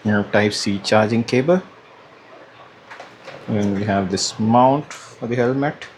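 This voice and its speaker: A man's voice: fairly low pitch, slow. A man giving a casual, hesitant unboxing commentary, thinking aloud as he goes. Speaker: vlogger unboxing a product. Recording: clear background noise, room ambience.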